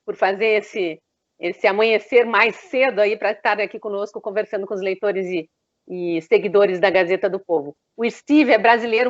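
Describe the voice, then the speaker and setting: A woman with a Brazilian Portuguese accent, hosting a conversational live journalistic interview over dull online stream audio. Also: fairly high pitch.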